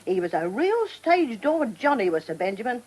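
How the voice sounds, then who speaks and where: An actress playing a devoted, nostalgic old theatre dresser in a radio drama, garrulous and homely, with a working-class London accent. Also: female voice, fairly high pitch, very animated, moderate pace, darkish tone, husky. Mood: chatty, nostalgic. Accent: working-class London English. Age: older.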